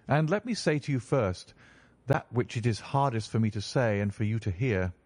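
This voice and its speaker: A man reading a story, voicing a stern, calm male detective in a low, measured, authoritative tone. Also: no room sound, lightly acted.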